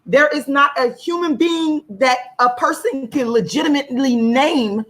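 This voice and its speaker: Casting: female activist guest, hoarse but fairly high voice, emphatic delivery that hammers repeated phrases. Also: measured pace, a bit bright. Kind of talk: impassioned monologue in an interview.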